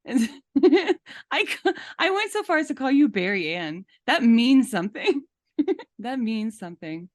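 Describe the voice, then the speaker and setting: A woman's voice: on the high side, big pitch swings, unhurried, no echo. Amused woman podcaster, dry close sound. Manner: amused, giggly, mocking. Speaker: podcast co-host. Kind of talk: casual, wry commentary.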